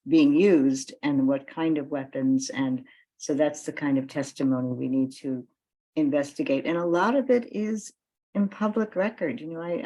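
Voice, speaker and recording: Low-pitched woman speaking on a video call. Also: mellow, poor audio. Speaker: guest speaker and activist. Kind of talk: informal spoken remarks in an online meeting. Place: remote computer call. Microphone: computer video call.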